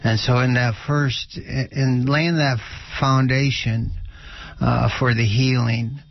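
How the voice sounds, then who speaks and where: A middle-aged or older man giving unscripted spiritual teaching on a radio show, halting and often pausing mid-sentence. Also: medium pitch, fairly even intonation, dry recording, highs cut off.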